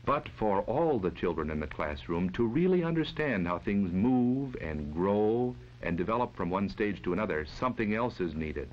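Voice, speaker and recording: A man giving measured, formal, instructive narration in mid-century educational film style. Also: sits low. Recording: dull old educational film soundtrack.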